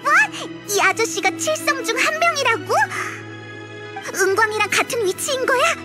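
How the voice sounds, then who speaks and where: A tiny, cheeky fairy girl companion from a video game. The voice is extremely high and bounces around with childlike excitement. She is perky and blunt, with clear music behind her. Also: moderate pace. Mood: astonished. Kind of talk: Korean video game voice acting.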